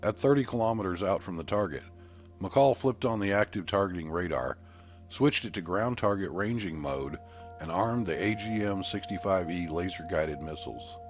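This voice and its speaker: A man with a low, deep voice narrates a military action story. His delivery is scripted and dramatic, with measured, deliberate phrasing. Music plays behind him. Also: lively intonation. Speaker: audiobook narrator.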